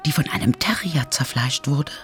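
A woman's voice: rumbling low, expressive, normal speed, faint music behind. A woman playing the ghost of a dead sister who haunts from within in an intimate, insinuating whisper, in a German radio drama. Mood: needling, intimate. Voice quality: breathy whisper.

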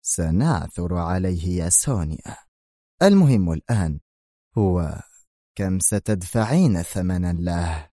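A gruff, menacing older crime boss, as a male narrator voices him, dropping each word slowly and heavily. The tone is low, dark and mellow. It is recorded close and dry. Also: sing-song, no room sound. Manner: slow, heavy, menacing. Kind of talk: audiobook character reading.

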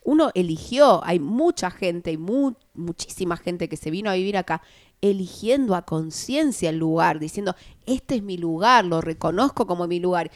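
Una mujer con acento argentino, coconductora de radio, que razona en voz alta con muletillas. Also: female voice, lowish voice, expressive, moderate pace, no room sound. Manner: reflective, thinks aloud with fillers. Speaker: radio co-host. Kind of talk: casual radio conversation.

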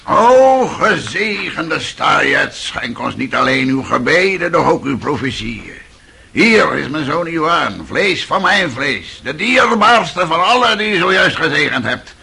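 An actor playing a scheming, buffoonish old father in a radio drama, hoarse and theatrical, mock-pious and accusing. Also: fairly high pitch, big pitch swings, a little slow, bright, forceful tone, full character voice. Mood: mock-pious pleading. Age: older. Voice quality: hoarse, gravelly.

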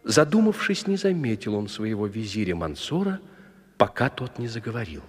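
Animated, low-voiced male storyteller. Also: normal speed. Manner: expressive storytelling. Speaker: narrator. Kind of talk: scripted fairy-tale narration. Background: music.

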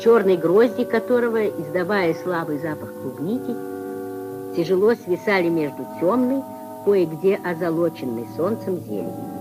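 A woman narrating a literary radio play in a 1950s style, with a husky voice. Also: fairly low pitch, expressive, normal speed, music in the background, poor audio.

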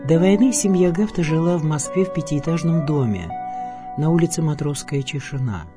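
A man's voice: a little high, lively intonation, moderate pace, over music. A man reading a scripted biographical narration as the presenter of a radio programme.

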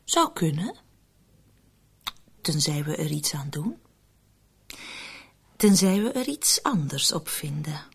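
An actress playing a wary, calculating murder plotter in a radio drama, probing and sceptical in measured speech. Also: female voice, deep voice, big pitch swings, a little hiss or hum, heavily acted. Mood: resigned, calculating.

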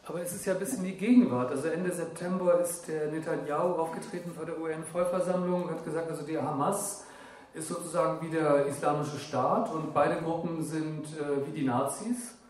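A man's voice: fairly high pitch, fairly even intonation, fairly quick, darkish tone. Distant, very echoey male audience voice. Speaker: audience member commenting. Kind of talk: spontaneous comment at a discussion. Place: audience at indoor live event. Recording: very echoey, distant, noisy room pickup.

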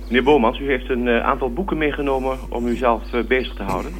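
A man's voice: medium pitch, fast. A man asking interview questions as a radio presenter, polite and formal in his address. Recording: slightly noisy old radio recording.

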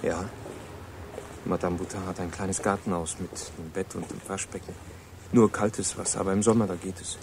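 A man's voice: low-pitched, little pitch movement, fairly quick, a little mellow, slight background noise. An actor playing a polite, guarded eighteen-year-old American boy in a radio play, speaking with reserved hesitation.

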